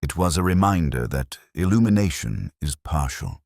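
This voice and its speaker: A man reading a scripted first-person detective story in a measured, formal, reflective manner. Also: low-pitched, animated, vocal fry, a little mellow, no room sound, studio quality. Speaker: audiobook-style story narrator.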